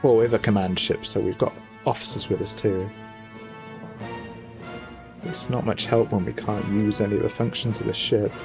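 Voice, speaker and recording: A man's voice: on the low side, fairly even intonation, normal speed, creaky, long pauses. A man giving casual, rambling game commentary, musing aloud, often trailing off and reading bits of on-screen text. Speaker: let's player, gaming video host. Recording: noisy home microphone, game music behind. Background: video game music.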